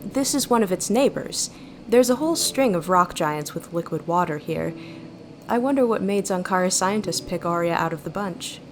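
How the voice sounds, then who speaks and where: An actress playing a thoughtful, gentle starship mind in an audio drama, with measured, wistful, formal phrasing. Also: female voice, fairly low pitch, animated, a little hiss or hum, close to an everyday voice. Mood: calm, explaining. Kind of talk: audio drama narration and dialogue. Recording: music behind the voice.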